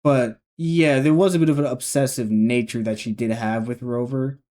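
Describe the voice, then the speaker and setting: A young man in his early twenties reacts to a clip with casual, rambling commentary. His voice sits at an ordinary middle pitch. He often trails off and restarts his sentences as he thinks aloud. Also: fairly even intonation, moderate pace, darkish tone. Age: 20s. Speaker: reaction video host.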